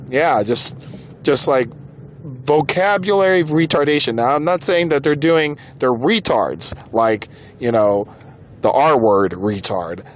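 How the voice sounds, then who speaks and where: A man's voice: mid-range voice, measured pace. An American man hosting a podcast, giving casual opinion commentary in an exasperated, informal and emphatic way. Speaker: podcast host. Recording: clearly noisy background.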